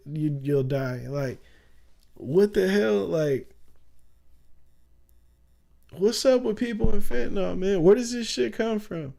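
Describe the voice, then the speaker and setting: A young man chatting casually on a livestream, loose and slangy, laughing often and calling everyone "bro", with a touch of rasp. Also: sits high, warm tone. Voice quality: raspy at times. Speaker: streamer.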